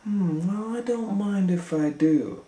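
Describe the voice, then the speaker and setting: A man plays a fussy little storybook girl with a raised, swooping pitch. She muses aloud and exclaims over whatever suits her, pleased with herself and a bit spoiled. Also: animated, slow pace, warm tone, echoey room, low-quality recording. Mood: musing.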